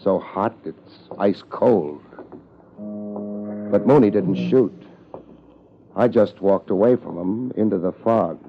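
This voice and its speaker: An American actor playing a laid-back, wisecracking hard-boiled private investigator in a 1950s radio detective drama. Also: male voice, fairly low pitch, slow pace, pauses often, a little hiss or hum. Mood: brooding narration. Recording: muffled old 1950s radio recording.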